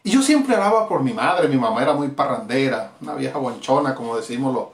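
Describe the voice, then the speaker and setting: A man's voice: mid-range voice, lively intonation, a little fast, roomy sound, a little hiss or hum. A middle-aged Venezuelan man preaching a fervent evangelistic sermon as pastor, with emphatic repetitions. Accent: Venezuelan Spanish. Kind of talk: evangelistic sermon streamed live.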